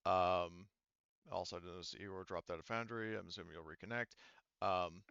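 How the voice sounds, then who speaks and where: A man's voice: sits low, fairly even intonation, normal speed, gravelly, a bit bright, clear, resonant tone, dry recording, muffled recording. A man acting as game master, talking casually and informally with some swearing at a tabletop game.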